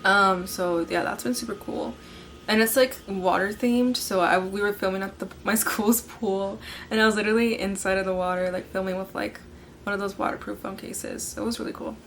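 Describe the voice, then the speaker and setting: A young woman in her late teens hosting a casual podcast, hoarse from yelling, chatting in a bubbly way and filling nearly every sentence with "like". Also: mid-range voice, steady tone, normal speed, slight background noise. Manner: bubbly, filler-heavy, says "like" constantly. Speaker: podcast host. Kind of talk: casual chat.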